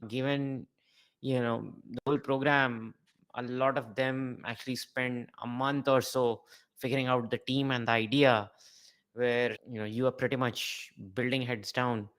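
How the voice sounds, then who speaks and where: A man's voice: medium pitch, fairly even intonation, moderate pace, a bit bright. Attentive male podcast host. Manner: attentive, brief backchannels. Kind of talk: podcast interview.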